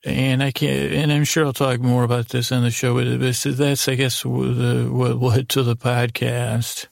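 A man hosting a podcast in a lulling, meandering monologue, halting and self-deprecating, with many false starts. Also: medium pitch, flat delivery, gravelly, dry recording, clean studio sound. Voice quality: lulling, soothing tone. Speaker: podcast host. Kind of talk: rambling monologue meant to lull listeners to sleep.

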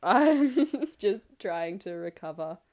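A young woman talks with a congested, stuffy voice from a bad flu. She chats playfully and laughs easily, co-hosting a relaxed podcast with her best friend. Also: lowish voice, slow. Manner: laughs often, chatty and playful. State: congested from the flu, voice deeper than usual. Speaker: podcast co-host. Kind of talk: casual chat between friends.

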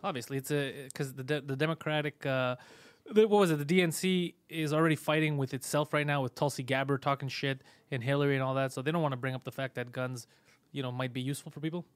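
A man whose first language is Greek, hosting a podcast in a casual, crude chat, loud, sarcastic and mocking, swearing often. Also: mid-range voice, fast, smooth, no echo. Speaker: podcast host.

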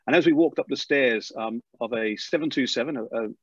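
A man's voice: medium pitch, breathy edge, dry recording. A middle-aged man with an Australian accent worn down by years in England, telling an interviewer about his path to becoming an airline pilot with plenty of restarts. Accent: Australian, softened by years in England. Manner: animated storyteller, quick with many restarts. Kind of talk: storytelling interview. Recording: slightly dull lo-fi sound.

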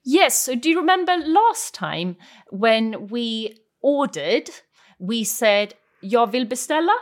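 A woman's voice: on the high side, very animated, takes it slowly. Patient female teacher. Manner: patient, explains step by step, models phrases. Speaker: Swedish language teacher.

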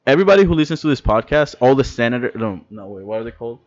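A young man from the Dominican Republic, co-hosting a podcast in casual chat, excitable and rambling, cursing freely. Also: mid-range voice, very fast, no echo, dull audio. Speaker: podcast co-host.

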